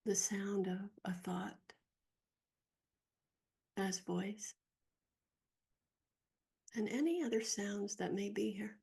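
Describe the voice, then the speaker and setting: A woman guides a meditation in a soft, husky voice with a mellow tone and a touch of creak. Her delivery is gentle and unhurried, leaving long pauses between short phrases. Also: mid-range voice.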